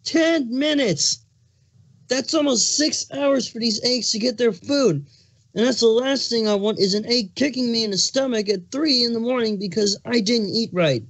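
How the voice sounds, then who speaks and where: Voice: mid-range voice, normal speed, natural voice, poor audio. A performer voicing a hormonal, foul-mouthed male changeling king who is pregnant, in an exasperated, emphatic cartoon fan fiction reading. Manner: ranting, exasperated, emphatic swearing.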